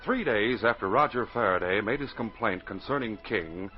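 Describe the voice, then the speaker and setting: An actor as a hearty, folksy announcer and narrator in 1940s radio style, addressing young listeners. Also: male voice, lowish voice, expressive, normal speed, faint music behind, natural voice. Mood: storytelling. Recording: muffled, lo-fi 1940s radio recording.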